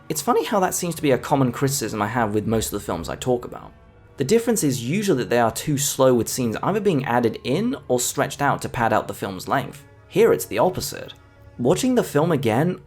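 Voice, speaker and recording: A man narrating a scripted film review as the host of a video essay. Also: medium pitch.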